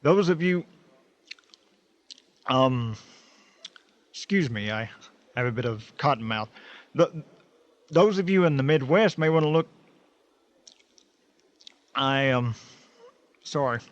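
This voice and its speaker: A man's voice: mid-range voice, expressive, takes it slowly, long pauses, no room sound. A man playing a flustered, panicky TV weatherman in a radio drama, stammering and breaking up his phrases. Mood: flustered, faltering. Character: flustered, increasingly panicked male TV weatherman burning up. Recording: dull lo-fi audio with music behind.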